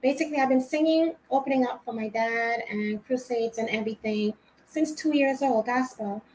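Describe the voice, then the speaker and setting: High-voiced woman with a Rhode Island accent. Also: moderate pace, darkish tone, a little hiss or hum, low-quality recording. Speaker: singer, interview guest. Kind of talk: casual interview answers.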